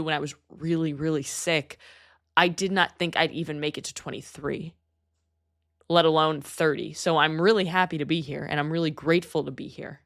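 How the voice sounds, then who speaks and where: A woman's voice: low voice, fairly bright tone. A woman of about thirty hosting her own podcast, talking earnestly and candidly in a personal monologue with casual swearing. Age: 30s. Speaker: podcast host.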